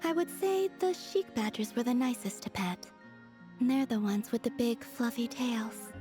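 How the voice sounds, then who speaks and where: A woman voicing a serene, gentle young moon goddess in a video game, speaking softly with composed, formal phrasing. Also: a little high, expressive, a little slow, over music. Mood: tender, fond. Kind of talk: video game character dialogue.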